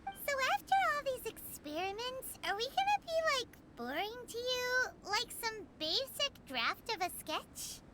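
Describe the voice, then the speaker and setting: A woman voicing a tiny, chirpy, fairy-like girl companion who talks excitably and speaks of herself in the third person. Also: very high-pitched, unhurried, very noisy, full character voice. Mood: wondering. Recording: studio game voice with loud music.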